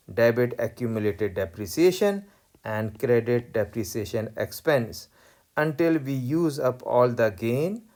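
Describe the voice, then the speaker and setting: A man lecturing on accounting, explaining worked exercises step by step in a deliberate way and repeating key points. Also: mid-range voice, a little mellow. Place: voice-over recorded over tablet screen.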